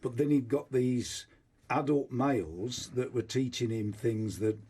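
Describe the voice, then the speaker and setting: A man with a soft-edged, dark tone hosts a radio interview. His voice is a little breathy and rough. He talks informally, turning remarks into questions with short tags. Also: medium pitch, fairly even intonation, unhurried.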